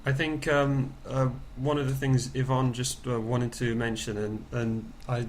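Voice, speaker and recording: A man joins a conference call as a participant with questions. He speaks informally and tentatively at a middling pitch, often repeating words, with faint noise on the line. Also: level tone, a little slow. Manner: hesitant, repetitive, tentative. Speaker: programme participant relaying questions.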